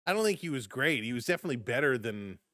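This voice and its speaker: A man calling a hockey game live for his fan stream, brisk in the action and chatty in between. His voice is mid-pitched and getting a little hoarse. The sound is close and dry, with no room around it. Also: sing-song, a bit bright, no room sound. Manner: brisk play-by-play, chatty asides to viewers. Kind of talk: live play-by-play and chat reactions.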